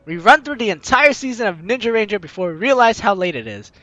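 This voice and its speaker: A woman's voice: medium pitch, big pitch swings, quick pace, a bit bright, dry recording, muffled recording, faint music behind. A young woman reading a visual novel aloud as a gaming host, with theatrical, teasing asides. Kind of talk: animated let's-play read-aloud and commentary.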